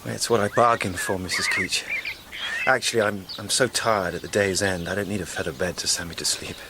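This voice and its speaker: A man's voice: deep voice, fairly even intonation, brisk, heavy background noise. A war veteran turned restorer in a radio drama. He is wry and polite, with a weary, reflective way of speaking. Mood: tired, polite.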